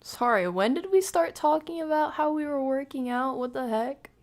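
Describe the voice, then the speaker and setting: A woman's voice: sits high, expressive. A woman streamer giving casual, playful commentary to a live chat, talking in internet slang. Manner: slangy, playful, drawn-out delivery. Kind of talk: casual livestream commentary, chatting with viewers.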